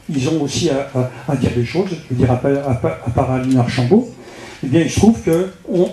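A man speaks from the floor at a public debate. His voice is fairly high for a man and softly mellow, and his phrasing is halting, with repeated words. He talks into a handheld microphone in a roomy, echoing space. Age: 60s. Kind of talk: public meeting speech addressing an elected official. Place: indoor meeting room with seated audience.

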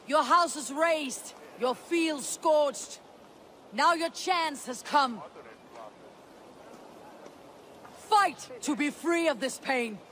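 An actor playing a resolute, commanding Viking warrior leader in a video game, speaking in terse, solemn statements. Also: male voice, very high-pitched, sharp tone, full character voice. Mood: rousing. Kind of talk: video game cutscene acting. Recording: outdoor ambience noise with horse hooves.

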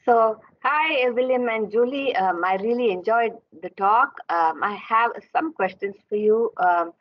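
A woman's voice: on the high side, measured pace. A woman attendee asking questions conversationally and somewhat hesitantly over a video call. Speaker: audience member asking a question. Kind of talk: conversational question in online meeting. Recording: dull online call audio.

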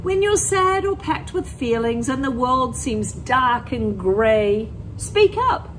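Slow, expressive woman reading to children. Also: high-pitched, a little hiss or hum, rough recording. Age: middle-aged. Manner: expressive, deliberate phrasing, prompts listeners with questions. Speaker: primary school teacher reading aloud. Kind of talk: picture-book read-aloud and talk to children. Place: empty school classroom, indoors.

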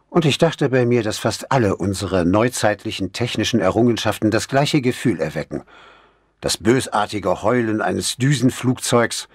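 Low, husky male audiobook narrator. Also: no room sound, studio quality. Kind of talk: scripted first-person crime novel narration.

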